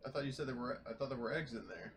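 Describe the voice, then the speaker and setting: A man's voice: medium pitch, expressive, racing, a bit bright. A man playing a tabletop game, chatting casually with the group over a noisy voice chat connection.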